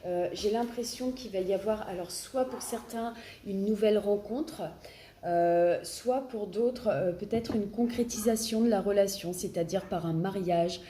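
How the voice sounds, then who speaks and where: A woman's voice: mid-range voice, a little slow, mellow. French woman with a cold reading tarot. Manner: hesitant, searching for words with many fillers. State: has a cold. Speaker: tarot card reader. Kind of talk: casual tarot reading to camera. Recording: echoey room, faint background noise.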